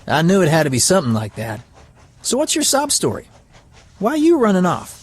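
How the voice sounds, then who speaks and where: An actor playing a brash, streetwise young drifter in an audio drama, blunt and needling. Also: male voice, high-pitched, huge pitch swings, fairly quick, breathy edge, heavily acted. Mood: teasing.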